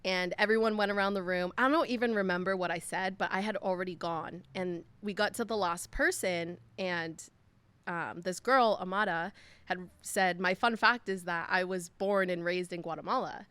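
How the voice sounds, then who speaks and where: A woman's voice: on the low side, moderate pace, a bit bright, smooth, dry recording. A young woman, a podcast guest, telling a personal story in a chatty way with lots of fillers. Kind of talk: casual storytelling.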